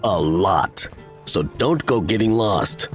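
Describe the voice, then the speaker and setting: A deep, swooping criminal fixer voiced by a man in a fantasy audio drama. He is self-assured and dramatic, with music playing behind him. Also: animated, slow pace. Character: smooth, self-assured criminal fixer briefing his thieves.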